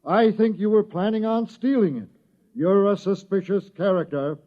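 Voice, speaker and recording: An actor playing a suspicious, self-important, by-the-book village police chief in an old radio drama. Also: male voice, high voice, normal speed, natural voice. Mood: officious. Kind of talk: mid-century radio drama acting. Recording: muffled vintage radio recording.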